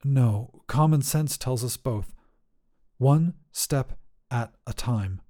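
A man narrating first-person fiction as a desperate, oxygen-starved astronaut, in deliberate, intense, measured phrases. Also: mid-range voice, dark tone. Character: desperate oxygen-starved astronaut telling his own story. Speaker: story narrator.

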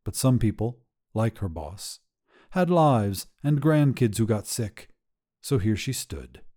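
Slow, mellow male story narrator. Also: on the low side, big pitch swings. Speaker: narrator reading short fiction. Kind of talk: scripted literary story narration.

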